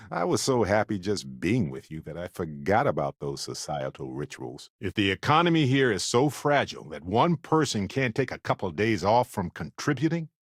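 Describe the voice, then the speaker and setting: An actor playing a warm, wry, chatty space explorer companion in a video game, with an affable, teasing and theatrical delivery. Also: male voice, on the low side, very animated, moderate pace, slightly rough, no room sound, studio quality, heavily acted. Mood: musing, playful.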